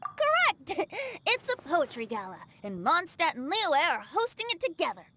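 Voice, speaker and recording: A woman's voice: extremely high pitch, wildly swooping pitch, a little slow, sharp tone, heavily acted. A woman voicing a playful, youthful boy bard in a video game, with a theatrical, lilting, charming delivery. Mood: playful, teasing. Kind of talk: animated game dialogue acting.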